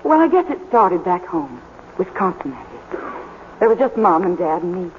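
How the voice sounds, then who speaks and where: A woman's voice: fairly low pitch, very animated, normal speed. A shy, humble young woman devoted to the stage in a vintage radio drama. She speaks hesitantly and earnestly, through a narrow, tinny broadcast with a little noise. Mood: wistful, hesitant. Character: timid, humble young female devoted theater fan. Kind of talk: 1950s radio drama acting.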